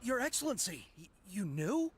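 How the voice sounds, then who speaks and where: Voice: medium pitch, big pitch swings, normal speed, a little hiss or hum, close to an everyday voice. An actor voicing a fervent young soldier in a video game, earnest and impassioned while deferring to a superior. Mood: pleading. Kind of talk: scripted video game voice acting.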